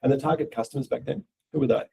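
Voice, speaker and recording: A man's voice: mid-range voice, racing, vocal fry, mellow, a little hiss or hum. A man, hosting an interview with a polished, upbeat manner, reading a scripted intro and asking questions. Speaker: interview host, portfolio manager.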